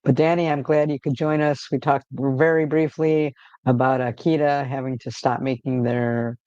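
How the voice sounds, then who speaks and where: A man hosting a casual livestream, rambling in a relaxed way with frequent fillers and restarts, talking from home. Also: medium pitch, normal speed, smooth, no room sound, muffled recording. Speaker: livestream host.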